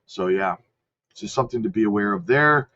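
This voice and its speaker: A man with a cold, host of a channel, casually talking through market charts and hedging his calls, clearing his throat now and then. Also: lowish voice, expressive, a little fast, bright, forceful tone. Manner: rattles off lists, chatty and hedging. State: sick with a cold. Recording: slightly dull lo-fi audio.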